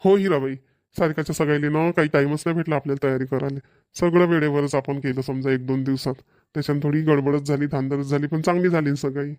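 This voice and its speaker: A man's voice: fairly high pitch, steady tone, fast talker, a little mellow, no room sound, clean studio sound, lightly acted. A man voicing a practical, insistent middle-aged father in a cartoon family comedy, with a Varhadi Marathi accent. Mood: matter-of-fact. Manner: repetitive, matter-of-fact persuading.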